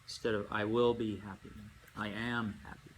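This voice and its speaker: A man leading a guided meditation as a yoga nidra teacher, speaking in unhurried phrases with long pauses and gentle instruction. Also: lowish voice, little pitch movement. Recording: amateur recording with faint background noise.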